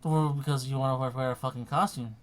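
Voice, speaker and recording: A man's voice: mid-range voice, fairly even intonation, quick pace. A man chatting casually while playing a video game, swearing and repeating himself in a distracted way. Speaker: player on a video game stream. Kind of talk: casual chat while gaming.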